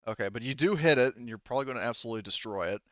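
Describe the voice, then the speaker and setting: A man's voice: mid-range voice, rapid-fire, a bit bright, no room sound, lo-fi sound. A man speaking casually as game master of a tabletop roleplaying game, explaining rules and outcomes in informal, sweary talk.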